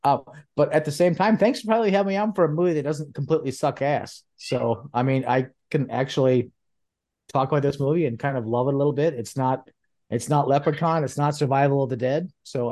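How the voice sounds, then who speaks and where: A man's voice: medium pitch, a little fast, a little mellow. Swearing, laughing podcast guest man. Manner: profane, laughing, enthusiastic. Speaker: co-host guest. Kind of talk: casual chat.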